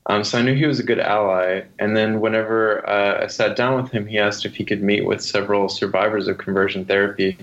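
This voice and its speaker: A man speaking as a guest author in an interview, answering conversationally in a thoughtful way with hesitant pauses. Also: lowish voice, level tone, a bit bright. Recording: dull lo-fi sound.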